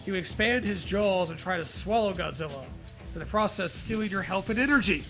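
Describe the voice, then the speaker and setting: A man's voice: sits high, a little fast, soft background music. A man hosting a dramatic reading of a story, mixed with crude, joking commentary. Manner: profane, jokey, animated reactions.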